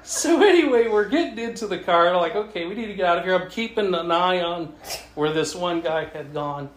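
A man from Canada telling a casual, humorous travel story to a writers' group, animated and chuckling often as he acts out the dialogue. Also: high voice, moderate pace. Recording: echoey room with faint noise.

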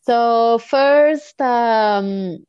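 A woman hosting a casual interview, friendly and full of quick affirmations and fillers. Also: on the high side, a little mellow, clear, resonant tone, dry recording, dull audio. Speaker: interviewer host.

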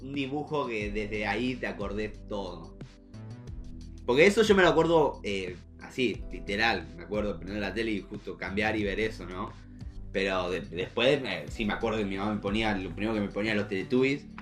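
Young Argentine male co-host, lowish voice, informal and halting, loose conversational delivery over faint music. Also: animated, a bit bright. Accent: Argentine Spanish. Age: young adult. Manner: informal, slangy, halting with repeated false starts. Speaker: podcast co-host. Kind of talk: casual chat.

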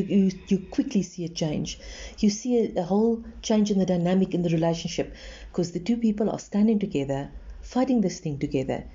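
An earnest woman gives relationship advice in a reassuring tone, repeating her points for emphasis. Her voice is somewhat low and very dark and mellow. The recording is slightly muffled and lo-fi. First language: Afrikaans. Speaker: relationship advisor. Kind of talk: advice monologue.

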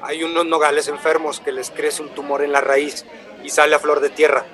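A male actor plays an earnest, polite traveling buyer in a radio drama. His voice is a touch high and his manner eager and explanatory. A low murmur of café customers sits behind him. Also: level tone, brisk, fairly bright tone, some chatter behind, close to an everyday voice. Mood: patient explaining.

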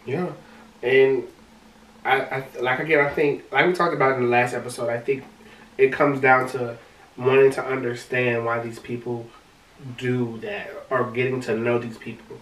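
A man with a high-pitched voice, co-hosting a casual podcast chat, talking in an animated way and often restarting his words. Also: normal speed. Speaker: podcast co-host. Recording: roomy sound from a distant mic.

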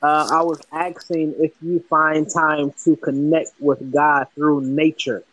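A man's voice: fairly high pitch, little pitch movement, slow pace, fairly bright tone, poor audio. A man in a casual chat who talks in an encouraging way and often says "man". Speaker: conversation partner.

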